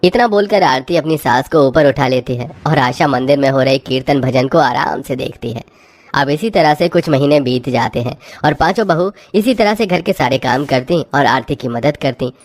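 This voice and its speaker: A woman's voice: rumbling low, lively intonation, normal speed, darkish tone. A woman narrator reading a scripted cartoon story.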